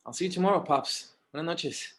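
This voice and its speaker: A man playing a patient, slightly exasperated adult son in a screenplay table read, casual and halting, with bits of Spanish. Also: fairly high pitch, natural voice. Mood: tired, friendly.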